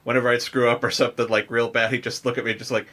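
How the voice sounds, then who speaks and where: Bright, forceful male podcast host. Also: mid-range voice, little pitch movement, racing. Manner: joking, self-deprecating. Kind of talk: casual chat.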